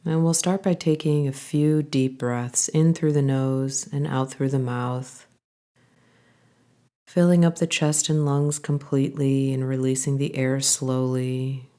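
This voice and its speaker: A woman guiding a body scan meditation, giving calm, gentle instructions in an unhurried way with pauses. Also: a bass voice. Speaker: meditation guide.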